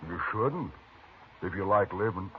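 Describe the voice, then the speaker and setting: A cocky taunting card player. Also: male voice, deep voice, lively intonation, a little slow, crowd noise. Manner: swaggering, taunting. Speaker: actor in a radio western. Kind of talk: 1950s radio drama acting. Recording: tinny old radio transcription with music.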